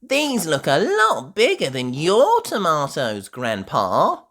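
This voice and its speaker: The narrator voicing an excitable, cheerful little girl pig in a children's storybook, exclaiming in an eager, childlike way. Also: mid-range voice, slow, voice pushed up, full character voice.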